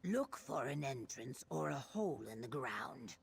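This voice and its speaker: An actress playing a grave, scholarly female quest companion, speaking in measured, formal video game dialogue. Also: rumbling low, sing-song, gravelly, slightly rough, full character voice. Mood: grave, purposeful.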